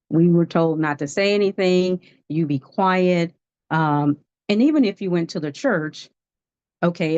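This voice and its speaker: Low-voiced woman in her fifties testifying. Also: darkish tone, clean tone, dull audio. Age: 50s. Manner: earnest, testifying, laughs at herself. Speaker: guest life coach. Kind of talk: livestream testimony.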